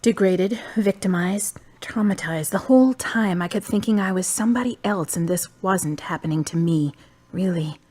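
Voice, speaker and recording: An actress playing a calm, wise young woman in her twenties from the United States, who talks bluntly and teases dryly. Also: lowish voice, moderate pace, natural voice. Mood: somber. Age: 20s. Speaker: friend and love interest. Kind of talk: amateur web drama acting.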